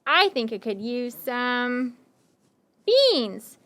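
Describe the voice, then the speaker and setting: Puppet show vagabond, played by a woman: high-pitched, swooping delivery, theatrical and deliberate, a friendly coaxer. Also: very animated, a bit bright, heavily acted. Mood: musing, hinting. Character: friendly, tired, hungry wandering stranger puppet, cleverly coaxing. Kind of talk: children's puppet show acting.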